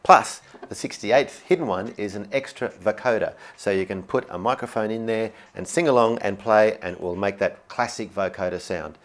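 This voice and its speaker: A man's voice: lowish voice, moderate pace, few pauses. A man giving an upbeat, informal and enthusiastic instructional product demo, explaining features as he goes. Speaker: product demonstrator. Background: keyboard music.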